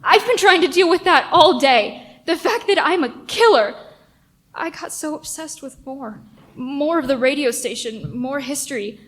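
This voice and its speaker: A woman's voice: high voice, expressive, unhurried, fairly bright tone. A woman acting a remorseful, anxious male radio staffer who speaks hesitantly and earnestly in a radio play. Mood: anguished. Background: music.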